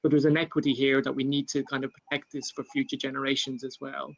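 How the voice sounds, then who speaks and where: A man with an Irish accent answering a panel question for his government, measured, with many fillers and restarts, over a video call. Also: medium pitch, completely flat delivery, gravelly, lo-fi sound. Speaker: panel speaker for a national government.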